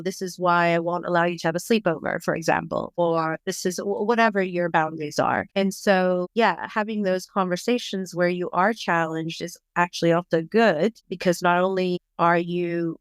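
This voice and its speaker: A middle-aged woman from Bangladesh, a parenting coach, speaking as a podcast guest in a reflective, measured way. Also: fairly low pitch, fairly even intonation, clean tone, no echo. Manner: reflective, measured, thoughtful explaining. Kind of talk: podcast interview.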